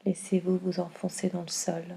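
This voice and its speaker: Low, mellow woman guiding meditation. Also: gravelly, rough recording. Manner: calm, soothing, unhurried with long pauses. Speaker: yoga nidra guide. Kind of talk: slow guided meditation.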